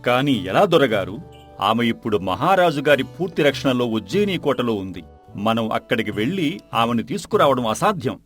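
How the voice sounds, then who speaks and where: A man's voice: medium pitch, very animated, moderate pace, faint music behind, lightly acted. Crafty, servile villain's henchman played by a man. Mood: doubtful. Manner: deferential. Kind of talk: Telugu audio folk tale acting.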